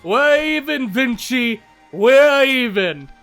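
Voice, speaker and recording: A man's voice: extremely high pitch, expressive, takes it slowly, fairly bright tone, soft background music, full character voice. A man playing a fond, teasing adventurer who narrates a fantasy audio drama in the first person. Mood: playful, teasing. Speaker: narrator and character.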